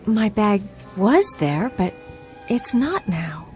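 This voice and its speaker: A woman's voice: medium pitch, very animated, slow, darkish tone, faint music behind. An actress playing an anxious, bewildered young career woman in a radio drama, polite but insistent, with hesitant, stammering speech. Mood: disbelieving, agitated. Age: young adult.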